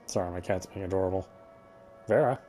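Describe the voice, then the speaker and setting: A man's voice: low voice, fairly quick, dark tone, faint music behind. A man reading visual novel narration aloud as he plays. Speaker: let's player reading a game aloud.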